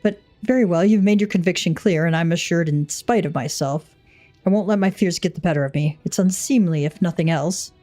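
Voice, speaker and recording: A woman's voice: lowish voice, brisk, dark tone, soft background music, close to an everyday voice. A woman voicing an anxious, devoted inventor husband in a video game, speaking in a formal, wordy, self-doubting way. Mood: cautiously assured.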